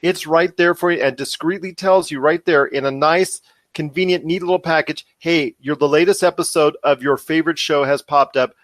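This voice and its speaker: Higher-voiced male podcast host, close and dry. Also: steady tone, normal speed, fairly bright tone, no room sound. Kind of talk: conversational promotional interview.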